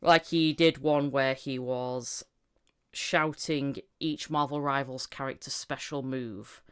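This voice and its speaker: Smooth-voiced woman chatting as podcast host. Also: very deep voice, steady tone, slow pace. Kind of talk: casual interview chat.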